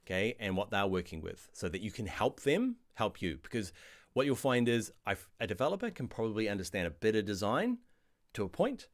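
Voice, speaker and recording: A middle-aged man with a New Zealand accent, answering viewer questions in a casual live stream about design software. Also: fairly low pitch, fairly quick. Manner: chatty, reads viewer questions aloud, animated asides. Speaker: online design course instructor.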